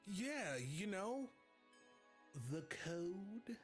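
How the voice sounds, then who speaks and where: Male actor as childlike grown man, excitable and sweet, wildly animated pitch, comedic table read, clear music underneath. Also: extremely slow, full character voice. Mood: shy, earnest. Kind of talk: live comedic table read of a film script. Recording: music playing behind the voice.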